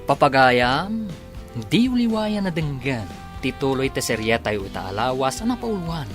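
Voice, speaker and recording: A man's voice: medium pitch, big pitch swings, normal speed. A man with a Filipino accent narrating and announcing a radio serial in a dramatic scripted style. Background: music.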